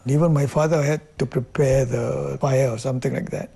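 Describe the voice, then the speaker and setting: A man in his eighties from Singapore, slowly recalling the past in a documentary interview. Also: medium pitch, steady tone, creaky, mellow, slightly rough. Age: 80s. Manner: slow, deliberate recollection.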